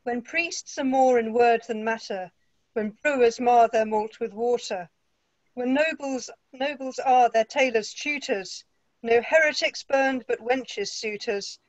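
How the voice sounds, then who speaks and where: A woman reading the part of a quipping male court fool, in brisk riddling patter. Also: on the high side, no echo, dull audio, natural voice. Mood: wry. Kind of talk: play read-through.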